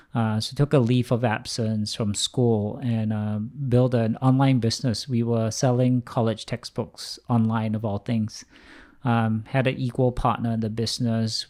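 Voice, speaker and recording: A man's voice: on the low side, darkish tone. A man in his forties from Singapore, talking conversationally as a venture capitalist guest in an interview. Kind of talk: conversational personal storytelling.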